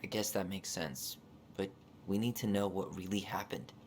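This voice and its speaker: Earnest low-voiced young man. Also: steady tone, moderate pace, slight background noise. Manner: direct, serious, reserved. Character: earnest, probing young man seeking answers. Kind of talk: naturalistic video game dialogue.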